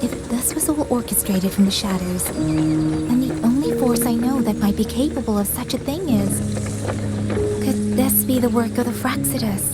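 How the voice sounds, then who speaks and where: A woman plays a determined young female ranger in a video game. Her voice sits a little high, and she speaks sincerely in measured, formal phrases. Music plays prominently behind her. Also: close to an everyday voice. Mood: puzzled, concerned.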